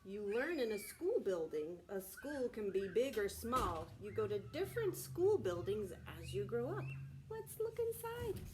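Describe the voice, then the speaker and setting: A woman preschool teacher reading aloud to young children in a deliberate, child-directed way, often asking prompting questions. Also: medium pitch, very animated, a little mellow, slight background noise. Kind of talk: read-aloud and sing-song circle time for young children.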